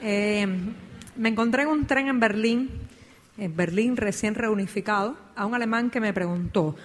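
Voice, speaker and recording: A Cuban woman speaking at a public talk and answering audience questions, measured and reflective, often tagging her points with "¿no?". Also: fairly high pitch. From Cuba. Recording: long hall reverb.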